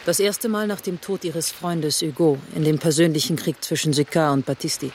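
An actor, a man, playing a tough, driven police investigator in their thirties in a radio crime drama, with a sharp, sarcastic, interrogating manner. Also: on the high side, fairly even intonation, moderate pace, a little hiss or hum, natural voice. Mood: confrontational.

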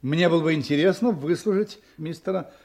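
An actor playing a courteous, composed private detective in a radio play, with a polite, measured, assured manner. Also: male voice, fairly high pitch, darkish tone, natural voice. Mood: composed, insistent.

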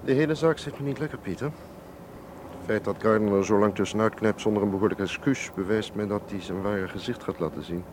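Man playing a low-voiced, shrewd museum scholar. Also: background noise, lightly acted. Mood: pensive, cool. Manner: measured, dry, authoritative. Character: shrewd, self-assured museum doctor, coolly pressing and insinuating. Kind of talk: radio drama acting in Dutch.

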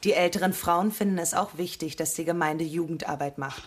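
An actress playing a composed, forthright deaconess in a radio drama. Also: female voice, on the low side, fairly quick, close to an everyday voice. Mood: calm, friendly.

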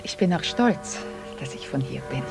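A woman's voice: very deep voice, animated, normal speed, gravelly, music in the background, exaggerated voice. An actress playing a demure, devoted and naive young small-town woman in a radio play, hesitant and often half-whispering. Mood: shyly proud.

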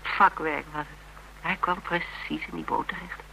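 Bright-voiced, spirited heroine. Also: female voice, low voice, moderate pace, creaky, full character voice. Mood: awed, excited. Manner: playful, dramatic storytelling, self-mocking. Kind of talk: Dutch radio drama dialogue. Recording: hummy, noisy old radio recording.

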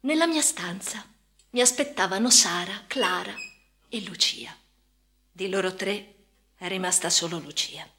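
A woman's voice: medium pitch, unhurried, long pauses, sharp tone. An actress playing a haunted woman patient in a first-person radio drama monologue, speaking intimately and often dropping toward a whisper. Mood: haunted, recollecting. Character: haunted woman patient recounting institutional life. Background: music.

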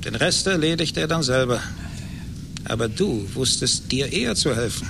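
An actor in a German radio play playing a gloating, vengeful rich man, condescending and deliberate. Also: lively intonation, creaky. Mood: cold triumph. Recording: noisy 1980s radio recording with rumble.

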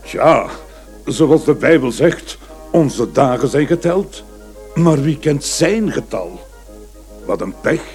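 An actor playing a small, fat veteran gatekeeper in a radio play, nosy and philosophizing in a sententious way. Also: male voice, mid-range voice, sing-song, slow pace, pauses often, darkish tone, soft background music.

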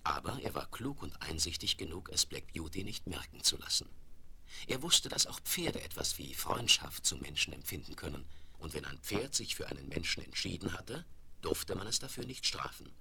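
A man as a calm, gentle narrator with a gravelly voice, reading scripted storytelling for a 1970s German radio play. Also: a bass voice, normal speed, full character voice. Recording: crackly 1970s vinyl rip with clicks.

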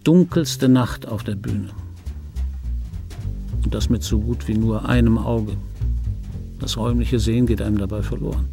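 An actor playing a middle-aged film dubbing actor in a radio play, reminiscing in a wry, pedantic, digressive way with a gravelly, husky voice. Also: male voice, on the low side, moderate pace, long pauses, over music. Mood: self-justifying. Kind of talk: radio play monologue, confiding first-person reminiscence. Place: dry radio drama studio.

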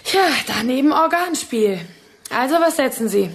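A woman's voice: high-pitched, animated, moderate pace, a little hiss or hum. A woman playing a cold, commanding villain in a radio play, giving curt, taunting orders. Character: cold, commanding female villain running a deadly card game.

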